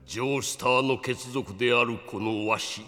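A man's voice: medium pitch, steady tone, normal speed, bright, forceful tone, natural voice. Man acting as a solemn old grandfather, cavernous reverb. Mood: grave, ominous. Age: older. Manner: grave, solemn, old-fashioned elderly speech. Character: grave old grandfather explaining an ancient family evil. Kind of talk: dramatic radio-play acting.

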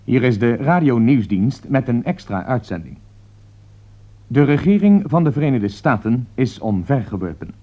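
A serious newsreader in a radio play, acted by a man, delivers urgent crisis bulletins with formal, measured diction. The voice is of medium pitch. The sound is dull, like an old lo-fi recording. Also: natural voice. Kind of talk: scripted radio drama news bulletin.

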